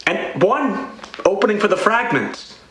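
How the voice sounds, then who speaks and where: Casting: a man to play a shaken male musician giving horror testimony in a radio play; high-pitched, slow, repeating himself. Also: sing-song, fairly bright tone, heavily acted. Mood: guarded, weary. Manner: halting, repeats phrases. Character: frightened touring musician recounting a horror under questioning. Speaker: actor in an audio play. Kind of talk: dramatic audio play monologue. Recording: roomy echo, faint background noise.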